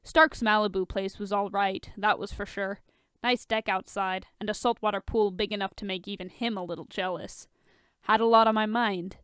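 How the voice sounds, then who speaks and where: A woman's voice: mid-range voice, steady tone, normal speed, a bit bright, clean tone, no echo, clean studio sound. A woman reading a fan fiction story aloud as scripted narration. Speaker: audiobook reader of fan fiction.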